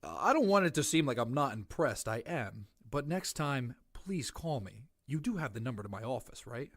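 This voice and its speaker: A man's voice: medium pitch, sing-song, a bit bright, no room sound. Guy playing a polite nosy sheriff in an audio drama, dry close sound, lots of up and down. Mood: measured. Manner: measured, polite questioning. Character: courteous, inquisitive small-town male sheriff.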